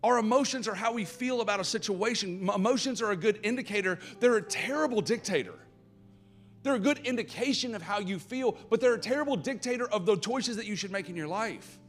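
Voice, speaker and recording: A man with a Southern American accent, a pastor giving a live church sermon, earnest and building to loud, passionate emphasis. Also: high-pitched, a little fast. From Southern United States.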